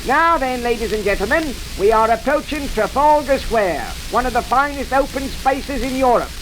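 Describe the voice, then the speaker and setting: Bus tour guide, very polite n wry, formal patter, hissy crackly old radio tape. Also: male voice, very high voice, animated, normal speed. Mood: brisk, courteous patter. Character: cheerful, patient British sightseeing bus guide, polite and wry. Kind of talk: old-time radio comedy acting.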